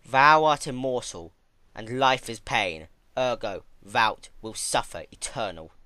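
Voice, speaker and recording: A man voicing a cruel, commanding immortal pony mother, making slow archaic pronouncements in a dramatic story reading. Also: medium pitch, sharp tone, no room sound. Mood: stern. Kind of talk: dramatic fan fiction reading.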